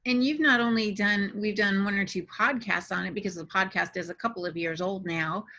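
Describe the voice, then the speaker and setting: A woman's voice: mid-range voice, steady tone, brisk, sharp tone. A woman chatting casually as a podcast co-host about the craft of writing. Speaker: podcast co-host and fiction writer.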